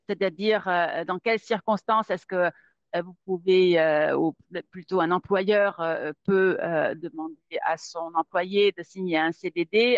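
A woman's voice: mid-range voice, dry recording. A woman giving a webinar as a lawyer, talking with many fillers and repeated words over a webcam on a video call. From Netherlands. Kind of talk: hesitant spoken presentation. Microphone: webcam or laptop mic on a video call. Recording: dull, dry lo-fi webinar audio.

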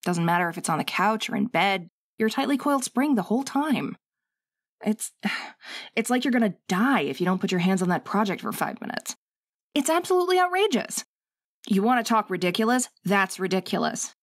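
A woman's voice: medium pitch, very animated, brisk, no room sound. A woman acting a bossy, loving wife, close and dry. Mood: sassy scolding. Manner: scolding, teasing, coaxing, conversational. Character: bossy, sassy but loving worried young wife. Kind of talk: intimate ASMR audio roleplay.

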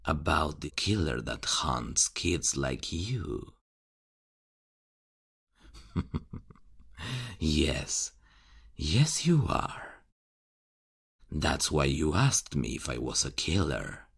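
A man playing a menacing, mocking male serial killer in an ASMR horror roleplay, taunting and chuckling in a hushed voice. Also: very deep voice, big pitch swings, extremely slow, vocal fry, long pauses, husky, exaggerated voice.

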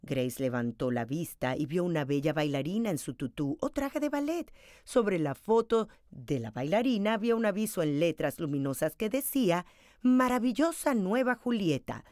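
Lively woman reading a children's story. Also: deep voice, normal speed, no echo, clean studio sound. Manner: expressive, dramatized storytelling delivery. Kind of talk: children's picture book read-aloud.

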